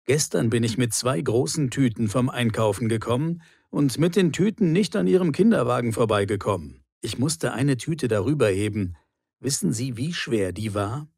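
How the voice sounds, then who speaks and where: A man playing a particular, reproachful neighbour in a clearly articulated language-lesson dialogue. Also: medium pitch, animated, moderate pace, darkish tone, no room sound, clean studio sound. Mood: complaining. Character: grumbling male apartment neighbour, particular and reproachful.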